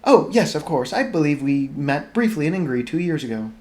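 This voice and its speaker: A reader voicing a stately princess who speaks in a brisk, composed, regal manner. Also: mid-range voice, expressive, darkish tone, lightly acted. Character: stately, quelling elderly princess.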